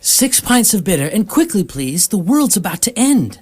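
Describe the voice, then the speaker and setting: A woman playing a brisk, insistent, deadpan alien posing as a human friend in a radio comedy, with a husky voice. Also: mid-range voice. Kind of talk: radio comedy drama acting.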